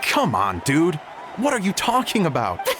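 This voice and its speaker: A man voicing a flustered, excitable young man in a video game, stammering and incredulous. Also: fairly high pitch, normal speed, heavy background noise. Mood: dismissive.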